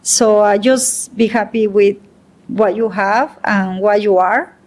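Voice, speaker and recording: A woman's voice: medium pitch, steady tone, slow pace, a little mellow. A woman, a guest artist talking reflectively in a casual interview, with many hesitant fillers. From Mexico. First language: Spanish.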